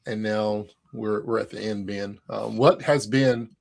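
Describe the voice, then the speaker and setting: A man's voice: fairly low pitch, fairly even intonation, a little slow, creaky. Upbeat joking man. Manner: upbeat and joking, with frequent fillers. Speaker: co-host of an online HR event. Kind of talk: casual livestream chat.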